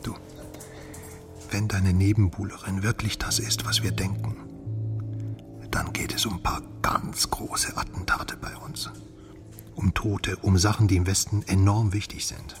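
An actor playing a wry, cautious journalist who talks in a grumbling, conspiratorial half-mutter in a radio crime drama. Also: male voice, sits low, flat delivery, measured pace, pauses often, in a whisper, music in the background. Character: wry, weary Western journalist, adult man, cautious informant. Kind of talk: naturalistic modern radio crime drama acting.

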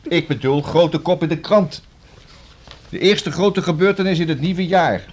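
A man's voice: a little high, unhurried. A man playing a jovial, teasing police detective in a radio drama, with a mock-pompous way of talking. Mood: declaiming.

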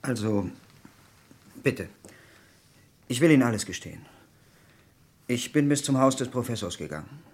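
An actor playing a cornered, reluctant doctor under questioning in a German radio crime drama, speaking formally. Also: male voice, mid-range voice, lively intonation, normal speed, pauses often, natural voice. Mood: cornered, confessing.

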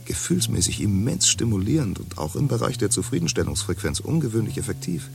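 A man's voice: fairly low pitch, sing-song, a little fast, vocal fry, a little mellow, slight background noise. An actor playing an earnest man of a future society who rambles on in pseudo-technical jargon, partly half-whispered. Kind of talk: radio drama acting. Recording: music under the voice.

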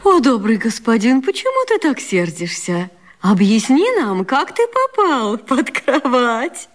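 An actress in a radio play voicing a storyteller wife from Arabian tales, ornate and declamatory. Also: female voice, on the high side, sing-song, a little slow. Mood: gently pleading. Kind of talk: radio vaudeville acting.

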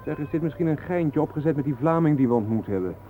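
A man's voice: fairly high pitch, lively intonation, fast, faint music behind, lightly acted. An actor playing a puzzled, skeptical man in a radio play, questioning with incredulity. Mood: incredulous. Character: skeptical, puzzled male companion named Ben, questioning everything. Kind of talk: Dutch radio play acting. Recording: noisy, narrow-band old radio recording.

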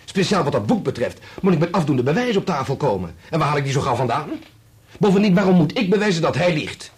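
An actor playing an indignant young conductor in a radio play, speaking in an insistent, combative, clipped way. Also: male voice, fairly high pitch, big pitch swings, fast talker, husky, heavily acted. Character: indignant young conductor defending his dead father's name. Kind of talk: Dutch radio play acting.

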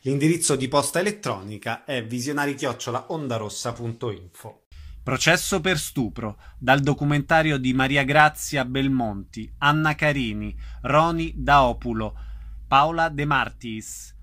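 A man with a bright, crisp-edged voice of middle pitch reads scripted radio announcements. The sound is dry and close, as in a studio. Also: unhurried, dry recording.

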